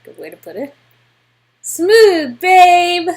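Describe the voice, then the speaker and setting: A woman's voice: very high-pitched, big pitch swings, takes it slowly, a little mellow, pitched above the performer's own voice, exaggerated voice. A woman playing an affectionate, sarcastic young girlfriend in a story game, gushing and teasing.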